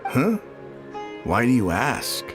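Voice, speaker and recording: Gate worker, medium pitch, lively intonation, chatty, defensive, game dialogue over faint music. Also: male voice, takes it slowly. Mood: casual, wary. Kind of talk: video game voice acting.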